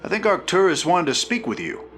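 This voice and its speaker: An actor playing a casual, plain-spoken frontier captain in video game dialogue. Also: male voice, high-pitched, huge pitch swings, brisk, a bit bright, faint music behind, heavily acted. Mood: easygoing. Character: laid-back frontier captain, man, easygoing.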